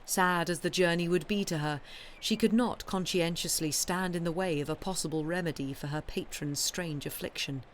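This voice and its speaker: A woman reading a literary story aloud as an expressive narrator on a live stream. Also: fairly low pitch, moderate pace, slight background noise.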